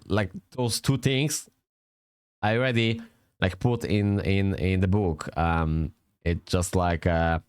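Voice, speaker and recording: A man with a Polish accent talks in a low, smooth, resonant voice. He rambles in loose, casual commentary, swears freely and keeps restarting his sentences. The sound is dry and close, with no room around it. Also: dry recording. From Poland. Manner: swears often, rambling and self-correcting, chuckles. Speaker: vlogger reviewing a language app.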